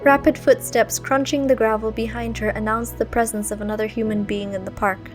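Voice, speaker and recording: A woman reading a scripted story aloud in an expressive, literary way. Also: fairly high pitch, a little fast, faint music behind. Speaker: fan fiction reader.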